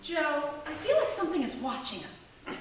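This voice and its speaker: A woman's voice: sits high, very animated, normal speed, loud music behind the voice. A woman playing a nervous, suspicious young girlfriend in a comic horror radio play. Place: theatre stage before a live audience. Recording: echoey theatre, distant mic.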